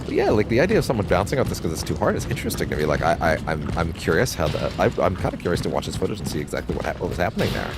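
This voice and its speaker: A man's voice: sits low, very fast, hardly any pauses. A man casually commenting on a game he is playing, rambling with many restarts and swearing freely. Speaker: let's play host. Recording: steady background noise.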